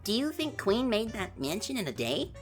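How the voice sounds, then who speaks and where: A woman voicing a gentle, earnest young prince who explains things patiently and is eager to help, in an audio drama. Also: medium pitch, very animated, normal speed, soft background music, close to an everyday voice. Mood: reassuring, explaining. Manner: soft-hearted, patiently explaining.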